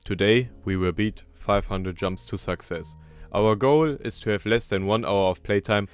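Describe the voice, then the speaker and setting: A man's voice: lowish voice, moderate pace, clear, resonant tone, soft background music. A young man with a German accent, casually talking through a parkour game run as a gaming channel host, chatty and self-deprecating, thinking aloud as he plays. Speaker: gaming YouTuber. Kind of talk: casual gameplay commentary.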